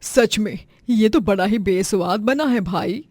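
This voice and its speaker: A woman's voice: medium pitch, unhurried, darkish tone, no room sound, studio quality, natural voice. A woman voicing a matter-of-fact mother-in-law who appraises her daughters-in-law in a family story. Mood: appraising. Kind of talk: dramatized Hindi family story.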